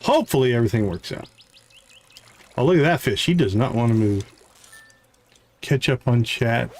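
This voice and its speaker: A man's voice: medium pitch, animated, normal speed, darkish tone, a little hiss or hum. A man casually commentating on a game he is playing live while chatting with his viewers.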